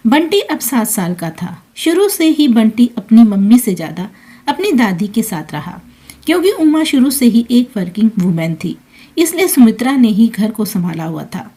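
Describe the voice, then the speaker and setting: A woman's voice: on the high side, sing-song, normal speed, warm tone, breathy edge. A woman reading a scripted emotional family story as its narrator.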